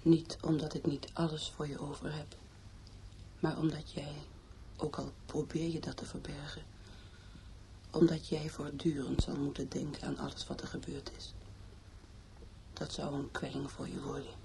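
An actress in a radio play playing a despairing young woman reciting a farewell letter in a tender, breathy half-whisper. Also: low-pitched, little pitch movement, moderate pace, warm tone. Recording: noisy old radio play recording.

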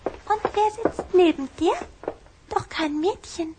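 A woman playing an affectionate, excitable little vampire girl in a children's audio drama, coy and eager in manner. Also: very high voice, takes it slowly, noisy background. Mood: smitten, coy.

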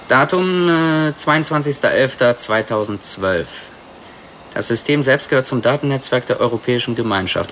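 Actor as a wry computer expert, noisy background. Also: male voice, medium pitch, lightly acted. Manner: explanatory, wry. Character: smug, clever male computer expert, wry and pedantic. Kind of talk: radio drama dialogue.

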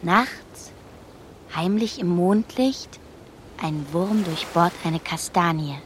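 A woman's voice: fairly low pitch, slow, a little hiss or hum. An actress playing a dreamy, headstrong young girl who states odd things matter-of-factly in a German radio play. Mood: dreamy, earnest.

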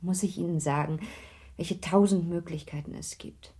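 Wistful young lady, soft and dusky. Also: on the low side, fairly even intonation, normal speed, husky. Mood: wistful farewell. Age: young adult. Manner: wistful, genteel, softly emphatic. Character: melancholy, gentle young married lady of 19th-century Prussia. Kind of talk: literary radio drama acting.

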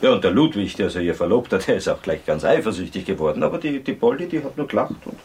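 Rambling, husky Viennese man. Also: fairly low pitch, very animated, quick pace, darkish tone, heavily acted. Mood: chatty, gossiping. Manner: rambling, colloquial, hesitant. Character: talkative, troubled Viennese cousin of a stricken girl. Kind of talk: radio drama acting.

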